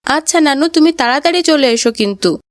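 A woman's voice: sits high, expressive, fairly quick, no echo, clean studio sound. A woman voicing an earnest, polite young grandchild in a cartoon moral story.

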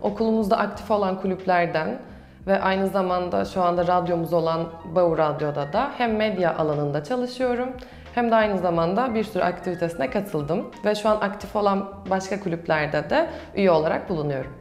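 A woman's voice: fairly low pitch, a little fast, a little mellow. Young woman, student doing a promo interview, echoey room, bit of music behind. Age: young adult. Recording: roomy echo with music behind. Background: background music.

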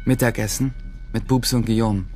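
A man's voice: lowish voice, steady tone, moderate pace, darkish tone, faint music behind, lightly acted. A man playing a wry, boastful teenage schoolboy in a radio drama, narrating his day in short clipped phrases. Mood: wry, bored. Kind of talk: first-person audio drama monologue.

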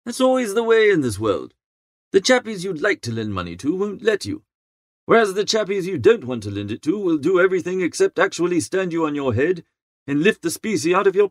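A man playing a good-natured, easily flustered young upper-class idler who narrates an audiobook in a chatty, slangy, expressive way. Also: high-pitched, dry recording, studio quality. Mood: friendly, wry. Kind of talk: first-person audiobook narration.

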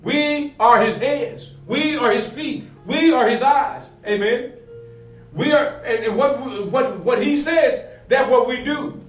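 A male pastor with a high-pitched voice delivers a sermon. He poses questions, answers them and repeats key phrases, dropping in frequent amens. The recording is roomy and picked up from a distance. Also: slow. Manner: repetitive call-and-answer questions, punctuated with amens. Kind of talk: sermon, preaching with scripture readings. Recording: roomy, distant mic, faint music behind.

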